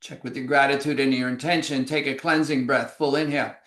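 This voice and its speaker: A man leading a yoga class through breathing exercises, giving slow, calm, measured cues. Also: medium pitch, echoey room. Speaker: yoga instructor. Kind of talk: guided breathing instruction.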